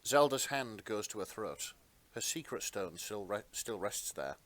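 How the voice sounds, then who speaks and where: A man narrating fan fiction as a podcast host, with expressive, sentimental storytelling. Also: lowish voice, a little slow.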